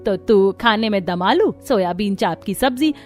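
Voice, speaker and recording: A woman's voice: mid-range voice, expressive, moderate pace, a little mellow, faint music behind, natural voice. A woman voicing a middle-aged, food-loving mother-in-law in a family cartoon, chatty and full of instructions. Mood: eager ordering.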